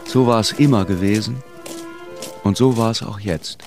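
Guy acting low solemn narrator for a literary radio play, measured, music behind him. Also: lightly acted. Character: solemn storyteller recounting a deportation.

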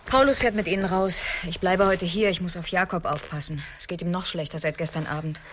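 Actress as firm woman with lowish voice. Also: a little fast, background noise, natural voice. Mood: subdued. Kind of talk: naturalistic radio drama acting.

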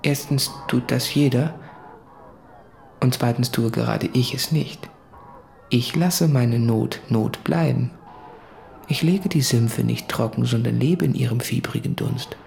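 An actor playing an anguished, self-doubting man who speaks in a brooding, deliberate, confessional way in a literary radio play. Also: mid-range voice, gravelly, darkish tone, slight background noise. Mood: resigned. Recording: music under the voice.

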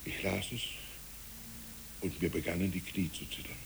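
A man playing a troubled, gentle old village pastor in a German radio play, hesitant and brooding, moving between murmur and declamation. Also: sits low, fairly even intonation, moderate pace, creaky, a little hiss or hum, rough recording. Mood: agitated.

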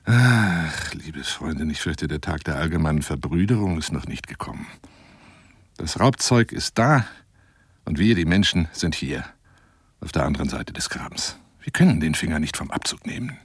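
Theatrical husky, garrulous lion hunter. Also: male voice, extremely low pitch, lively intonation, normal speed, dry recording, studio quality, full character voice. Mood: poetic, wistful. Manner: theatrical, rambling, coaxing, swinging from exclamations to whispers. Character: garrulous, self-styled lion hunter wooing a woman by telephone. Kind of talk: radio play monologue.

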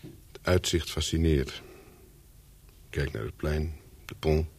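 An actor playing a lonely, brooding man who tells his own story in a radio play, deliberately and slowly. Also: a bass voice, steady tone, slightly rough, exaggerated voice. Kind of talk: radio play monologue and dialogue.

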